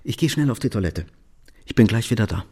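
An actor in a German radio drama playing a middle-aged architect, tired, businesslike, guarded and evasive. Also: male voice, fairly low pitch, animated, fast, darkish tone, slightly rough. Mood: curt, evasive.

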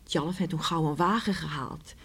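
A sorrowful village neighbour in a radio play. Her voice is fairly low and husky, and she speaks plainly, with a subdued, sighing concern. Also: moderate pace, fairly bright tone, full character voice. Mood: grave, concerned. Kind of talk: radio drama acting.

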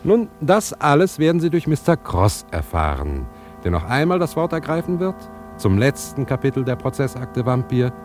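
An actor playing a suspenseful radio serial presenter, reading scripted narration with theatrical questions. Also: male voice, mid-range voice, sing-song, normal speed, darkish tone, faint music behind, lightly acted. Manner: teasing rhetorical questions, theatrical.

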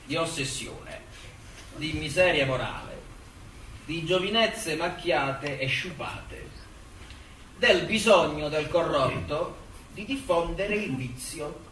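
An actor playing a contemptuous, pious prosecutor who declaims a courtroom speech with emphatic, deliberate pauses. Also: male voice, fairly high pitch, expressive, a bit bright. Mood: scornful, insistent. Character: vehement moralizing male prosecutor, contemptuous and pious. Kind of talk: theatrical courtroom oration. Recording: roomy, distant stage recording with hiss.

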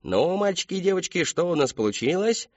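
A man voicing a fat children's party clown, shouting with exaggerated jolly showmanship and an edge of menace. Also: high voice, very animated, brisk, no room sound, muffled recording, pitched above the performer's own voice. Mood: rousing, hectoring.

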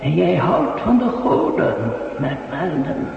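An actor playing a hypnotic indoctrination voice in a radio drama, solemn, insistent and deliberate. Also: male voice, a little high, very animated, vocal fry, far from the mic, slight background noise, heavily acted. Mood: solemn, commanding. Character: male hypnotic indoctrination voice, slow and commanding. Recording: dull, cavernous reverberant recording with music.

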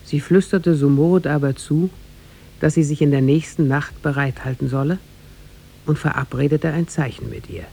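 A man narrating a tale in a formal, measured 1950s radio-drama style with literary diction. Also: a little high, fairly even intonation, creaky, dark tone.

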